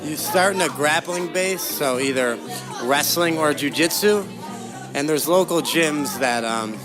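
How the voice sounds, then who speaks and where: A man's voice: fairly high pitch, measured pace, music in the background. A young adult man answering interview questions in a casual, conversational way as a guest. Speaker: interview guest, professional fighter.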